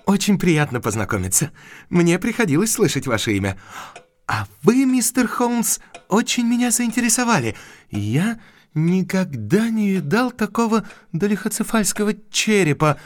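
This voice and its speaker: An actor playing a young, eager, unpractical country doctor in a Russian audio drama, effusive and courteous with hesitant pauses. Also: male voice, high voice, sing-song, dry recording. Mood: fascinated.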